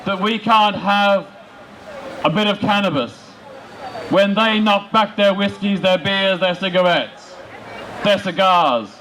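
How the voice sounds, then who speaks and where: High-pitched man giving a slow rally speech. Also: a bit bright, low-quality recording. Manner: emphatic, rhetorical, in short deliberate phrases. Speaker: political campaigner speaking at a rally. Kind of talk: outdoor protest speech. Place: large open-air city square rally. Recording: outdoor public address with background noise.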